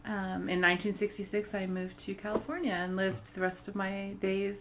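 A woman's voice: mid-range voice, fairly even intonation, fairly quick, slight background noise. A middle-aged woman from Chicago, a guest who chimes in with dry jokes during a casual interview. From Chicago, USA. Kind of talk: casual conversation. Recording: tinny phone-like lo-fi sound.

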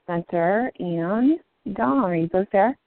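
A woman hosting a live indie music show, raspy from the flu, talking haltingly with many ums. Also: medium pitch, lively intonation. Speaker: radio show host. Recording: tinny phone-like line.

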